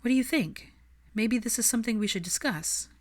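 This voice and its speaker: Woman acting a poised, determined young witch, pitched up. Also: brisk, lightly acted. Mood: frank, decided. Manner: articulate, earnest, assertive.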